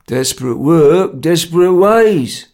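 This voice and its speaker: Man doing a gravelly, menacing Cockney conspirator. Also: mid-range voice, very animated, a little slow, a little mellow, heavily acted. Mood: cold menace. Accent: working-class London. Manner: severe, laconic, mock-official. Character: grim bullying working-class male conspirator, stern and menacing.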